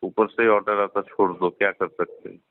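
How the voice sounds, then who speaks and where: Male collections agent, fairly low voice, respectful and halting, slightly muffled call recording. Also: flat delivery, no room sound. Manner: deferential, addresses the other as sir. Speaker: loan recovery agent. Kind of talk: phone conversation. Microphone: phone line. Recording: dry recorded phone call, narrow band.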